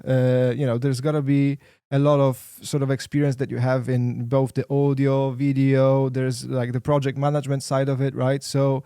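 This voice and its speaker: Mellow-voiced man hosting a podcast. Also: medium pitch, little pitch movement, moderate pace, few pauses, clean tone, no echo, clean studio sound. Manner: frequent affirming backchannels, hedged questions. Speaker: podcast host. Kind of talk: casual conversational interviewing.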